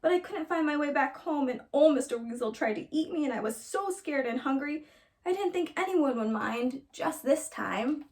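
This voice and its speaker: A woman's voice: high-pitched, lively intonation, normal speed, a little mellow, far from the mic, pitched above the performer's own voice. A woman voicing a polite, wayward young girl with a plaintive manner in a storybook. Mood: pleading.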